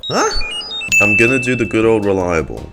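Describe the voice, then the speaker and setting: A man's voice: lowish voice, very animated, normal speed. A man streaming his live reaction to a game story in a casual, informal commentary style. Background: game music.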